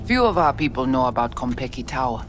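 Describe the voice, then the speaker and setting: An actress playing a cool, commanding female hacker gang leader with a Haitian Creole accent and formal, measured speech in a video game. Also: a bass voice, big pitch swings. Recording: music behind the voice.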